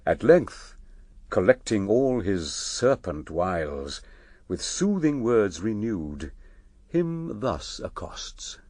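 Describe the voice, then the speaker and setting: A British actor playing the grave, dramatic narrator of an epic poem in a classical verse radio drama. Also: male voice, on the low side, big pitch swings, slow, a little mellow, breathy edge, no echo, exaggerated voice. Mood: grave, suspenseful.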